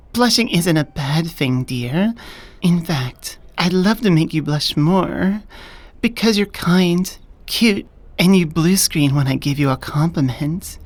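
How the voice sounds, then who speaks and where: Low-voiced, flirty, confident elf woman, acted by a woman. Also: darkish tone. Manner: teasing, doting, self-assured. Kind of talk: soft-spoken ASMR romance roleplay. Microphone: close binaural studio microphone.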